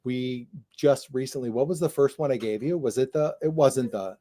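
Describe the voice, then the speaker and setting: A man's voice: mid-range voice, normal speed, warm tone. A man co-hosting a casual livestream chat. He talks in a chatty, jokey way, often trailing off and restarting. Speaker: co-host.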